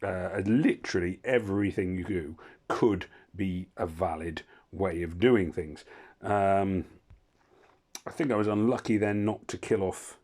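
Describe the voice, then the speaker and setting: Brief: hoarse man, lowish voice, rambling gaming commentary with dry asides. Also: measured pace, darkish tone. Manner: rambling, self-interrupting, wry asides. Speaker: gaming channel host. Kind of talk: casual gameplay commentary.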